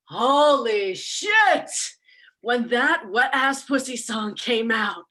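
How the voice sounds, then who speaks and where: An actress playing a smug, snarky demon in a comic stage monologue, sarcastic and conversational, boasting about corrupting mortals. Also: female voice, fairly high pitch, expressive, slow pace, bright, forceful tone, heavily acted. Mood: smugly amused. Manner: sarcastic, conversational, casual swearing.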